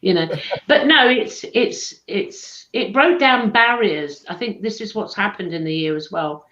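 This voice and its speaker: A woman's voice: mid-range voice, measured pace, a bit bright. A woman with a posh southern English accent, chatting casually as co-host of a live chat show, calling people darling. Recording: echoey room, dull lo-fi sound.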